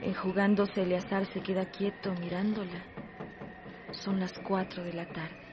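Female narrator, mid-pitched, scripted literary reading, radio drama, noticeable background noise. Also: flat delivery, a little slow. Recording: clear noise behind the voice.

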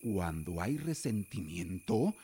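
A man's voice: low-pitched, big pitch swings, slow, mellow, breathy edge, full character voice. An actor playing a stern, fearful, middle-aged father with a Mexican accent in a radio drama, scolding and authoritative. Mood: indignant. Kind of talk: Spanish-language radio drama acting.

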